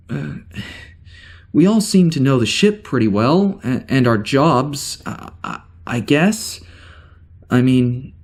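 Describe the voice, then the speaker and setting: Male actor as uneasy amnesiac ship's officer: medium pitch, hesitant, wry, rambling log entries for sci-fi audio drama. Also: darkish tone. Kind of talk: spoken log in science fiction audio drama.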